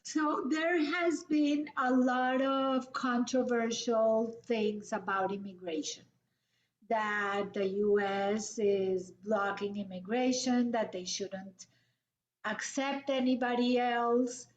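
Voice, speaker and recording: Slow-talking woman host on video call. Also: fairly high pitch, steady tone. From Colombia. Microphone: video call webcam. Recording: echoey, dull webcam audio.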